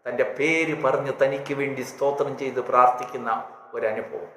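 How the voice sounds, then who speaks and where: A man's voice: mid-range voice, fairly even intonation, moderate pace, fairly bright tone. A man preaching a devotional sermon, telling stories and exhorting emphatically in short, repeated phrases. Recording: echoey reverberant hall sound.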